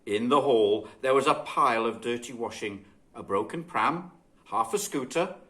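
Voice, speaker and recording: A man reading a children's story expressively and dramatically, with deliberate phrasing. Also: medium pitch, lively intonation, sharp tone. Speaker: guest storybook reader.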